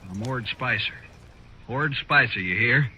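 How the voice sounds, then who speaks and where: A man's voice: mid-range voice, measured pace, sharp tone. Blustering frontiersman, noisy radio recording. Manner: gruff folksy bluster, colloquial frontier speech. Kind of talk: 1950s radio western acting.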